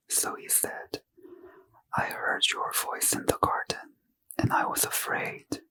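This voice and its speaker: A man reading a bedtime scripture story in ASMR style, in a soft unvoiced whisper. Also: rough recording. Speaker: ASMR reader.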